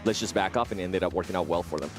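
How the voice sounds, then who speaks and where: A man's voice: deep voice, over music. A man whose first language is not English, giving esports analysis in casual desk chat. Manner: casual, quick, conversational.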